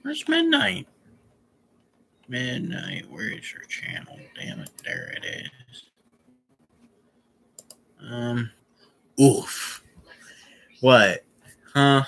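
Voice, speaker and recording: A young man talks to his stream audience in a slow, unhurried way, pausing often and trailing into fillers and restarts. His voice sits at an ordinary pitch with a bright edge. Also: wildly swooping pitch, gravelly, husky, poor audio. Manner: rambling, halting, many fillers and restarts. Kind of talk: casual live-stream chat.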